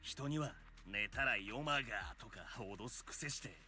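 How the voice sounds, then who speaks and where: A man's voice: fairly low pitch, a little fast, pushed and bright. An actor playing a brash, easily annoyed young guy in an anime-style game. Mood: annoyed grumbling. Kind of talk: Japanese anime game voice acting. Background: game music.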